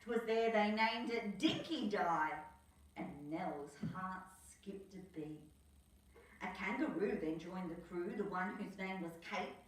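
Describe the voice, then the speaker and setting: A woman's voice: mid-range voice, slow pace, recorded from a distance. An Australian woman reading a children's picture book aloud as a storyteller, with theatrical emphasis on the rhymes. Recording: echoey room, away from mic.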